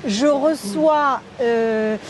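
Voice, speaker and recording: A woman dentist, a guest on a talk show, explaining her work with frequent pauses as she searches for words. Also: on the high side, slight background noise. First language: French. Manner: hesitant, searching for French words. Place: outdoors at a café terrace.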